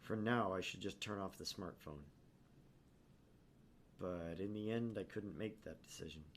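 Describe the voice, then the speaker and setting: A man voicing a haunted young male student who narrates his anguish in a brooding, measured way in a visual novel. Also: low voice. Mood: brooding, shaken. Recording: clear background noise.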